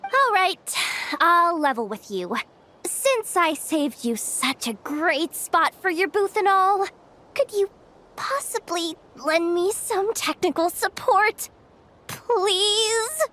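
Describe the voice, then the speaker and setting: Chatty scheming young game girl, super high voice, swoopy and slangy, expo manager type. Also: slow pace, full character voice. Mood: cajoling. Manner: slangy, cajoling, expressive. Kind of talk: video game voice acting.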